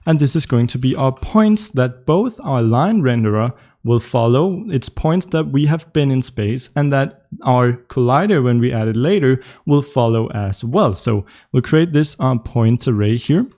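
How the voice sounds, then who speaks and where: A young man with a Danish accent walks viewers through building a game live. His voice sits in a middle pitch. He speaks casually, thinking aloud with plenty of ums and uhs. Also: lively intonation, measured pace, hardly any pauses, a little mellow. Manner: casual, explaining while coding, frequent ums and uhs. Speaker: tutorial host. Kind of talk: live coding tutorial.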